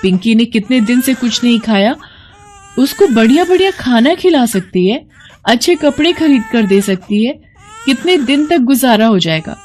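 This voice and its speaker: Woman as brash, greedy beggar woman, swooping pitch. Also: lively intonation, moderate pace, a little mellow, slight background noise, lightly acted. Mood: insistent, tempting. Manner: brash, insistent. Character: greedy, scornful street beggar woman, scheming mother. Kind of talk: dramatised moral story acting.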